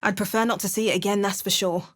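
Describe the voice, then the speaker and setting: Woman doing a dry sarcastic game heroine, cautious, quick little remarks. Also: mid-range voice, steady tone, lightly acted. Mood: wry. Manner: dry, sardonic asides. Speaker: player character. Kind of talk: game voice lines.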